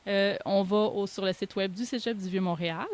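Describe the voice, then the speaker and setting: A woman with a Québécois French accent hosting a casual interview, informal and halting, often correcting herself. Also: medium pitch, darkish tone. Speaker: interviewer, host.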